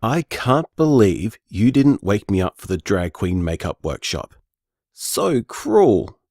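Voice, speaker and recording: An actor playing a gentle young man who owns a bar and practices magic, leaving chatty, musing voice messages full of hedges and fillers. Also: fairly low pitch, big pitch swings, slow, no room sound, exaggerated voice. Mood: earnest. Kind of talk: audio drama voice message.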